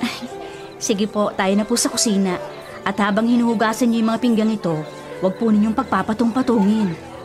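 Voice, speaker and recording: A woman playing a bossy, superstitious older sister in a radio drama, scolding, insistent and quick to defend herself. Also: mid-range voice, fairly even intonation, close to an everyday voice. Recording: prominent music behind the voice. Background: music.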